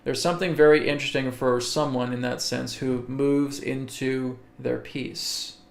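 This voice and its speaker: Man, gravelly voice, live webcast host, slow deliberate Q&A, echoey room. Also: mid-range voice, steady tone. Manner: slow and deliberate, checks in with "okay?" often. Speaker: webcast host answering viewer questions.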